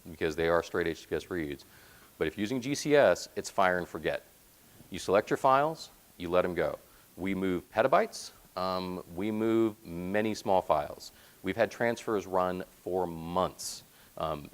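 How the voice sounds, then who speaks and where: A man with a deep, resonant voice presenting technical slides at a conference, explaining things in a plain, informal way. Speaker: conference presenter, software platform team member. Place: presentation room, speaking to slides.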